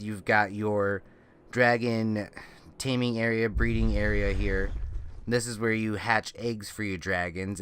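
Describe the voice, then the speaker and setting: A man's voice: lowish voice, a bit bright, slight background noise. A man with a slightly nasal, congested voice giving rambling, casual walkthrough commentary on a video game as a channel host, often correcting himself. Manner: rambling, self-correcting explanations. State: congested with a lingering cold. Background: faint music.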